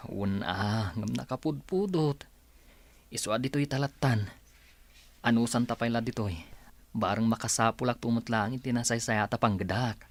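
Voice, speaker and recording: A man's voice: mid-range voice, normal speed, no room sound, lightly acted. A man playing an easygoing, stubbornly hopeful young husband in a radio drama, talking casually. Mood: dismissive, hopeful.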